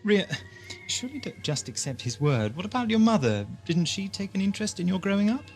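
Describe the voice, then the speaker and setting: A man's voice: sits high, sing-song, brisk, faint music behind. A man playing an inquisitive, wry and polite time-travelling adventurer in an audio drama, with a questioning manner. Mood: wry, curious.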